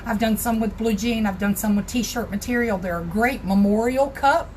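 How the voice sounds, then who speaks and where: A hoarse, raspy-voiced woman with a Southern US accent hosts a casual crafting live stream from her home craft room. She talks in a fairly high voice and greets her viewers by name as she goes. There is a faint hiss behind her. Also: normal speed, darkish tone. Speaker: crafting tutorial host.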